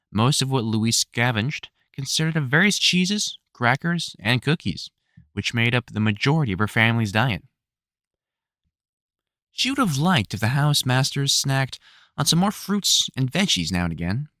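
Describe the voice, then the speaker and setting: A man narrates a storybook with expressive, animated intonation that rises and falls freely. His mid-pitched voice is measured in its storytelling, and it sounds dry, with no room around it. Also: no echo.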